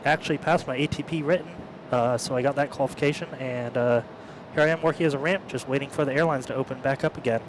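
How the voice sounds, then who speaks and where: A man's voice: mid-range voice, steady tone, quick pace, noisy background. A young man who works the airport ramp, chatting casually and easily about his job. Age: young adult. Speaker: ramp worker and guest. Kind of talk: casual chat.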